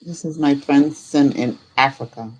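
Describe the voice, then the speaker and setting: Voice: mid-range voice, unhurried, darkish tone, low-quality recording. A live stream host chatting casually and informally, thinking aloud while giving a tour of a virtual world.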